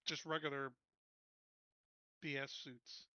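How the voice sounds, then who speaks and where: A man's voice: medium pitch, little pitch movement, takes it slowly, sharp tone, clean tone, no room sound, lo-fi sound. A man playing a tabletop roleplaying game, chatting casually in a blunt, informal way.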